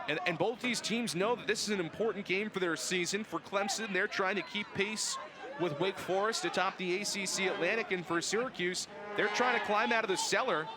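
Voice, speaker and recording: A young man calling live soccer play-by-play as the announcer. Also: high-pitched. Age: young adult. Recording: noisy outdoor stadium ambience behind. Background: outdoor match ambience.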